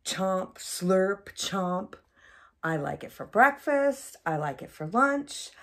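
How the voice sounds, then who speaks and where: A woman voicing an excitable, melodramatic young crocodile from a children's picture book. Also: medium pitch, takes it slowly, full character voice. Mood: delighted. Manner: dramatic, exclamatory, melodramatic. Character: excitable, panicky young crocodile who loves watermelon.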